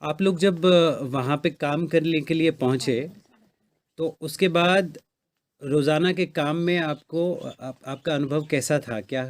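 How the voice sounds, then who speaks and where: A man's voice: fairly high pitch, takes it slowly. Patient male interviewer. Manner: patient, prompting, summarizes answers back. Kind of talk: interview questions.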